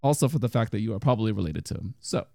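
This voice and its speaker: Casting: young male host, gravelly voice, dry close sound, teasing and rambly. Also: fairly low pitch, lively intonation, racing, mellow, no room sound. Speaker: podcast host. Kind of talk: casual chat.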